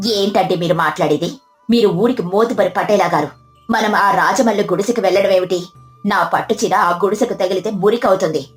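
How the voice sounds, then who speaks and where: A woman's voice: medium pitch, fairly quick, faint music behind, lightly acted. A woman as a haughty rich village wife. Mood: scornful. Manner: complaining, haughty. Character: proud, snobbish rich village headman's wife.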